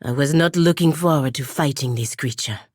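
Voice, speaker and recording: A proud, disdainful warrior in a fantasy video game. Her voice is extremely low for a woman, and she speaks in formal, measured, blunt phrases with a stern edge. The recording is dry, with no room sound. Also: darkish tone, slightly rough, no echo. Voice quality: very low-pitched voice. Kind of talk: video game voice acting, formal scripted dialogue.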